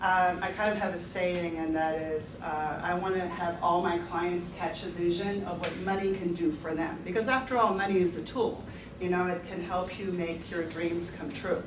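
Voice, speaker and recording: A woman's voice: on the low side, fairly even intonation, normal speed. A woman in her fifties from the Netherlands, a financial advisor telling her personal story to an audience at a university event, candid, laughing often and using many fillers. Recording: echoey room, mic at a distance, background noise.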